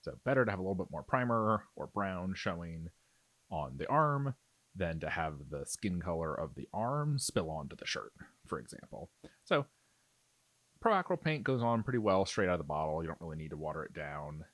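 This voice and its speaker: A man's voice: sits low, big pitch swings, normal speed, fairly bright tone, dry recording. A man giving a casual, explanatory tutorial, talking conversationally as if thinking aloud. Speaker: miniature painting tutorial host. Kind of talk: casual, explanatory tutorial narration over painting.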